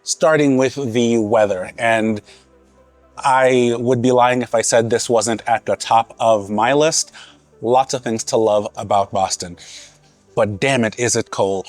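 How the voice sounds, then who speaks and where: A man's voice: medium pitch, no echo, soft background music. Earnest man vlogging casually. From Haiti, raised in Massachusetts. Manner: earnest, conversational, unhurried. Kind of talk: casual reflective talk to camera.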